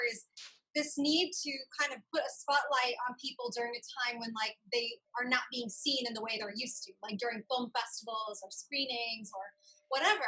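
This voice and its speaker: A woman's voice: high-pitched, bright, forceful tone, breathy edge, poor audio. A woman hosting a casual interview, speaking informally and effusively as she affirms her guest. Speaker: show host, interviewer.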